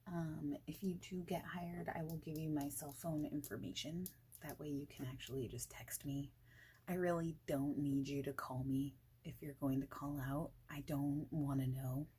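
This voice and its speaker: A woman speaking softly and breathily, often near a whisper, in an informal, reassuring ASMR boss roleplay. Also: low-pitched, fairly even intonation, normal speed. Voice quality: soft, breathy, often dropping toward a whisper. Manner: informal, reassuring, rambling chat. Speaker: ASMR creator playing a friendly boss in a job interview roleplay.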